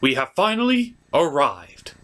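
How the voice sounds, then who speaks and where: A man hosting a comic movie review, narrating theatrically with dramatic pauses and emphasis. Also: a little high, wildly swooping pitch, a bit bright.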